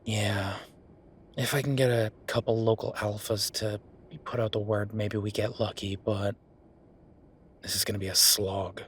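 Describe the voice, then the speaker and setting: A man's voice: lowish voice, flat delivery, a little slow, close to an everyday voice. A man playing a frustrated, world-weary werewolf official talking intimately with his partner in an audio roleplay, in a conversational, sighing way. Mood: frustrated, resigned. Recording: clear background noise.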